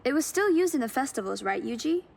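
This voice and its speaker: High-voiced, upbeat young woman. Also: big pitch swings, fast, vocal fry, heavily acted. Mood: excited, friendly. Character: May, young enthusiastic, warm-hearted woman car hunter. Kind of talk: video game cutscene voice acting. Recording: engine and ambient noise behind. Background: vehicle sounds and ambience.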